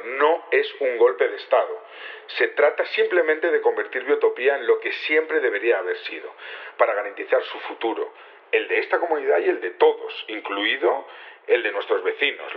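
A thin, band-limited radio-like recording carries an actor playing a calm male conspirator with a Castilian accent. He addresses a gathering in a formal, measured, resolute way, as in an audio fiction drama, at a medium pitch. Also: narrow, tinny audio, close to an everyday voice. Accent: Castilian Spanish from Spain. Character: calm, resolute male leader of a secret plot. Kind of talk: Spanish audio fiction acting.

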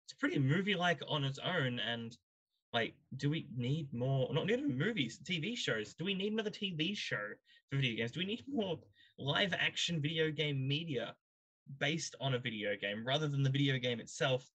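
A man's voice: fairly high pitch, animated, moderate pace, fairly bright tone, breathy edge. Man hosting an opinionated panel chat. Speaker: podcast host.

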